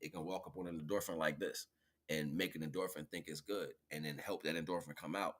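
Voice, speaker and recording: A man's voice: very deep voice, steady tone, brisk. A man in his forties hosting an informal livestream monologue, talking in a rambling, slangy way, repeating phrases and checking in with the listener. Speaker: livestream host.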